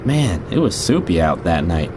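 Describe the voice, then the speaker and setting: A man's voice: deep voice, big pitch swings, normal speed. A middle-aged man playing a jaded rideshare driver, narrating a scripted audio drama in a conversational, wry, confiding way. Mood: irritated, tense. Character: jaded male rideshare driver telling creepy stories. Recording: noisy, car and street ambience behind.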